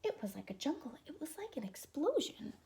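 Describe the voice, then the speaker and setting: A woman's voice: sits high, big pitch swings, a little fast, vocal fry, darkish tone. A woman reading a children's picture book aloud as a teacher, expressively, with asides to young listeners.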